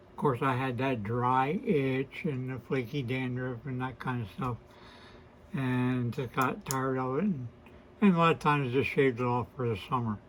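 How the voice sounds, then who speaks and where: A man in his sixties with a hoarse, weakened voice, hosting a casual to-camera vlog and talking in a hesitant, apologetic way with many fillers and restarts. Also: mid-range voice, slight background noise, low-quality recording. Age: 60s. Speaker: host of a beard channel.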